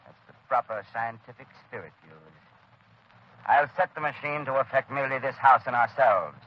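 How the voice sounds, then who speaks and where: A man's voice: mid-range voice, moderate pace, close to an everyday voice. Man acting a gloating mad inventor, vintage radio. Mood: commanding, gleeful. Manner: theatrical, grandiose, emphatic declaiming. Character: deranged, gloating male inventor, bitter murderous cousin. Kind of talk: 1930s radio drama acting. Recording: dull old 1930s radio broadcast.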